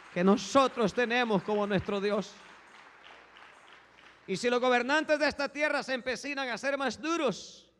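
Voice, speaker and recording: A male pastor preaching a fervent sermon, swinging from hushed tones to shouting and repeating "brothers" as a refrain. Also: very high-pitched. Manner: repeats "hermanos" as a constant refrain. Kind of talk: Spanish-language sermon. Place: church sanctuary. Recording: long echo of a large hall.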